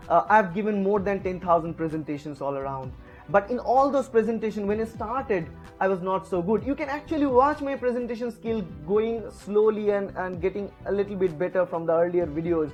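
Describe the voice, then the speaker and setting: Indian guy teaching to camera, pitch going up n down, lots of uhs, faint music behind. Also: brisk. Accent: Indian English. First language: Bengali. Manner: animated, pitch swinging up and down, many fillers and restarts. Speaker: educator. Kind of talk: lecture to camera. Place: room, alone with camera.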